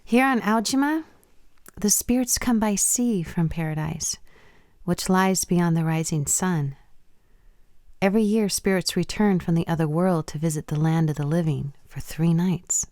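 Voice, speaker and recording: A woman's voice: lowish voice, unhurried, a little mellow, no echo, studio quality, lightly acted. An actress playing a proud, coy young Japanese woman who teases and tells tales with precise wording in a radio play. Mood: hushed, mysterious.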